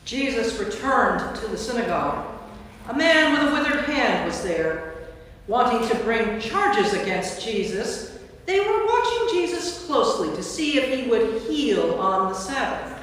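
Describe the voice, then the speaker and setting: A woman's voice: fairly high pitch, very animated, measured pace, fairly bright tone, a little hiss or hum. Echoey woman narrating scripture. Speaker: narrator, Episcopal priest. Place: large church interior. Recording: very echoey church, distant mic.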